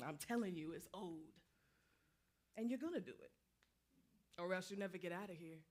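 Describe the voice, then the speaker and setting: A woman's voice: on the low side, moderate pace, darkish tone, close to an everyday voice. A woman acting a restless female soldier's ghost in a staged play reading, taunting and insistent in vernacular speech. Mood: reproachful.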